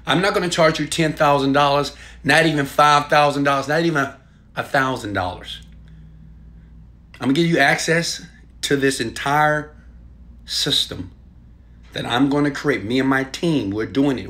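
A man in his thirties, filmed on a phone held at arm's length in a small room at home, giving a hyped and preachy sales pitch with loud bursts and quieter asides. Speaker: online marketing coach. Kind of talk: selfie video sales pitch.

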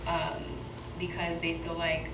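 A young woman with a fairly low voice speaks casually and reflectively on a panel. The sound is video playback in a roomy hall, distant and noisy. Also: completely flat delivery, measured pace, creaky. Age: young adult. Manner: casual, reflective, uses "like" a lot. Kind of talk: panel discussion. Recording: video played in an echoey hall, off-mic, noisy.

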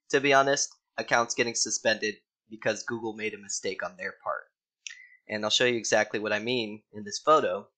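Young male consultant, bright and forward tone, talks loosely with fillers while recording his screen at a desk. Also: on the low side, rough recording. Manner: casual, frequent ums, mildly exasperated. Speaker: ads account consultant.

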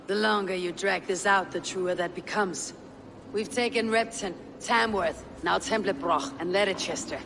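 A steady, blunt Viking woman leader, voiced by an actress in a game, with calm, dry delivery. Her voice sits in a long reverb with clear noise around it. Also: medium pitch, normal speed, natural voice. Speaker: game protagonist.

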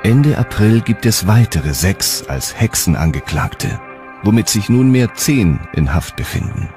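A man's voice: on the low side, sing-song, measured pace, music in the background. A man reading scripted narration for a documentary.

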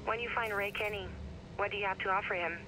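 An actress playing a worried, sceptical young hacker ally in a video game, questioning and cautioning. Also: female voice, mid-range voice, fairly even intonation, quick pace, lightly acted. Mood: cautious doubt. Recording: phone call over loud car noise.

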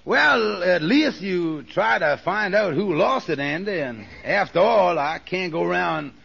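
A man's voice: sits high, lively intonation, measured pace, creaky, a bit bright, breathy edge, full character voice. An actor playing an earnest, sensible, cautious working man with a folksy, fretful way of talking in a vintage radio comedy. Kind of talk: 1940s radio comedy acting. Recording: dull vintage radio recording.

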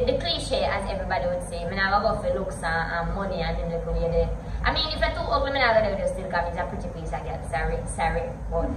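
A woman's voice: medium pitch, recorded from a distance. An eighteen-year-old Jamaican woman chatting casually in a vlog, mixing English and Patois while gesturing with her hands. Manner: chatty, switches between English and Patois, animated hand gestures. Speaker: vlogger. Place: home gym room, indoors. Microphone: camera a few feet away. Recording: echoey room, voice off-mic, background hiss.

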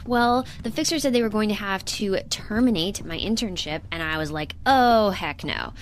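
A woman playing an earnest, excitable young intern who talks casually and emphatically in an audio drama. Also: medium pitch, normal speed, slight background noise, natural voice. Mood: indignant. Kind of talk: naturalistic audio drama acting.

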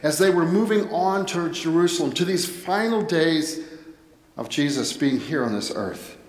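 A middle-aged American man giving a sermon as a pastor, speaking deliberately in short phrases with pauses. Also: a little high, lively intonation. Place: indoor church, at a pulpit. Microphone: headset microphone. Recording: echoey church with long reverb.

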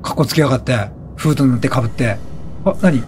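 A man giving casual, excitable let's play commentary, chatty and reactive, often repeating short phrases. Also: medium pitch, fast talker. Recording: game music behind the voice. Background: video game music.

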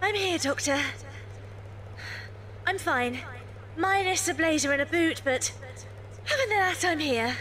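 A woman's voice: extremely high pitch, lively intonation, measured pace, long pauses, fairly bright tone, heavily acted. A woman playing a spirited young adventurer from 1930s England in an amateur audio drama, British, prim and teasing.

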